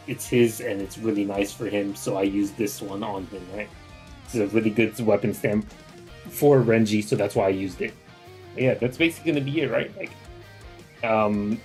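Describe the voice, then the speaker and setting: A man with a lowish voice hosts a gaming video in a casual, unscripted way. He rambles informally from point to point, checking in as he goes. Plucked guitar music plays behind him. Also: darkish tone. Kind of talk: casual unscripted game commentary.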